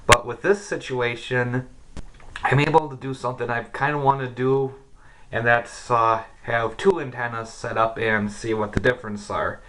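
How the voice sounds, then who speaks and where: A man's voice: mid-range voice, fairly even intonation, normal speed, fairly bright tone. Casual man, noisy homemade demo recording. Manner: informal, thinking aloud. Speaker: amateur shortwave radio hobbyist and presenter. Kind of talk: casual hands-on demonstration vlog. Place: indoor room with radio equipment. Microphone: camera's built-in mic. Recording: noisy cheap camera mic, radio static. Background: shortwave radio hiss and clicks.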